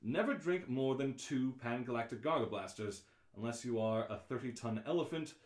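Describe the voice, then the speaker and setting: A man reading as the dry, informative narrator of a galactic guidebook in an amateur radio play, deliberate and declaiming. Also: mid-range voice, expressive, darkish tone, lightly acted. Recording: roomy, echoing live reading.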